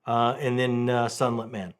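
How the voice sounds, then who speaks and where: A man hosting a casual, conversational book video, telling things in an animated way and repeating phrases for emphasis. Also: mid-range voice, moderate pace. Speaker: book review video host.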